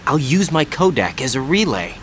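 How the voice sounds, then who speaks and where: A man's voice: a little high, sing-song, normal speed, fairly bright tone, slight background noise. A man playing an earnest, dutiful young operative in a dramatic video game cutscene.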